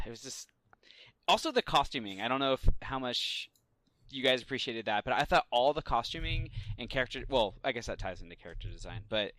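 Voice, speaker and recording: A man chats casually as a podcast co-host, recorded dry and close. His mid-pitched voice carries emphatic, gushing fan enthusiasm. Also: expressive, fairly quick, bright, forceful tone, no echo. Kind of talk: casual pop-culture podcast chat.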